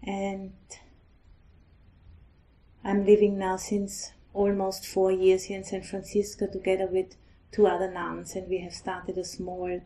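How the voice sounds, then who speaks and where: A middle-aged woman from Austria with an Austrian German accent, a Buddhist nun giving a gentle, halting dharma talk. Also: mid-range voice, low-quality recording. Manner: gentle, hesitant, halting with restarts.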